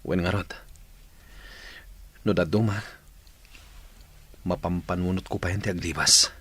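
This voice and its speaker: A man's voice: deep voice, fairly even intonation, a little slow, fairly bright tone, slightly rough, heavily acted. An actor with an Ilocano accent playing a troubled, reluctant young man in a radio drama.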